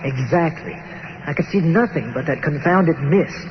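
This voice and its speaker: An actor playing a courteous, earnest archaeologist from the nineteenth century, stranded on an island, telling his tale formally and haltingly in old time radio style. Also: fairly high pitch, a little hiss or hum, natural voice. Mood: dramatic recounting. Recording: muffled radio-show recording with music behind.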